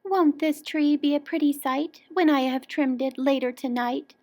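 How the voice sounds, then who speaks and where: Woman as a high, fluttery, fretful maid. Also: steady tone, normal speed, dark tone. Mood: pleased. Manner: sighing, fluttery. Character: fretful, dainty upstairs maid.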